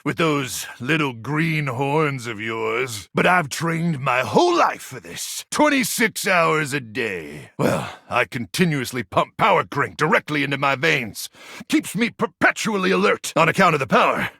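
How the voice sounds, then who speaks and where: A frazzled, gung-ho soldier microwave from a video game. The voice is raspy and high for a man, swinging wildly in pitch. It blusters out military talk with awkward, paranoid intensity. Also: sing-song, a little slow, pushed and bright, no echo, studio quality, heavily acted. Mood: blustering, intense. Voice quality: gravelly, raspy. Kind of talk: animated video game voice acting.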